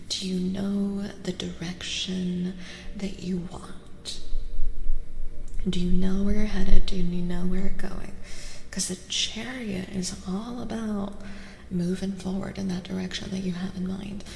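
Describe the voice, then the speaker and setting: A woman from the United States speaking softly as an ASMR tarot reader and stream host, often dropping to a whisper and addressing listeners intimately. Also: mid-range voice, little pitch movement, a little slow, creaky. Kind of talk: soft-spoken ASMR tarot reading with chat asides. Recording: noisy, echoey with long reverb.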